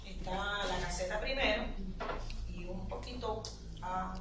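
A police officer testifying in court, giving brief, quiet answers. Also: medium pitch, measured pace. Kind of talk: witness testimony. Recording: distant echoey courtroom mic with background noise.

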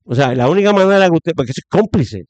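A man's voice: on the high side, lively intonation, quick pace, a crowd in the background. Emphatic Puerto Rican man, slightly muffled. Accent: Puerto Rican Spanish. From Puerto Rico. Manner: emphatic, many fillers and restarts. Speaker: guest commentator. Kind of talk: opinionated conversational commentary.

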